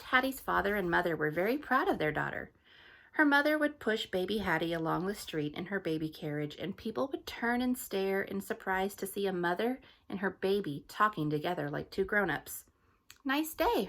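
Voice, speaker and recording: A teacher reading a picture book aloud to her students in a gentle, friendly storytelling manner. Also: lowish voice, creaky.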